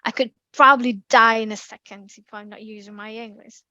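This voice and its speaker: Bright-voiced Indonesian woman presenting. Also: a little high, fairly quick, no echo, highs cut off. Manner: lively, chatty, frequent ums and restarts. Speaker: community speaking presenter. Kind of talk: online class presentation. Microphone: computer mic on a video call.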